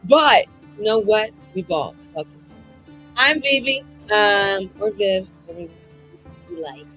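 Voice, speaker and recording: A woman's voice: medium pitch, lively intonation, takes it slowly, pushed and bright, soft background music, poor audio. A woman playing in a tabletop game stream, introducing her character in a cheeky, boastful, sweary way. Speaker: player in an actual-play game. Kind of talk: casual chat, character introduction.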